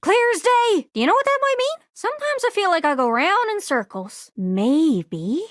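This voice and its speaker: A woman voicing an excitable, scatterbrained globe character in a video game, with a folksy Newfoundland accent and a bubbly, exclamatory manner. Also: extremely high pitch, moderate pace, no echo, clean studio sound. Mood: eager, helpful. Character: excitable, scatterbrained living globe longing to travel.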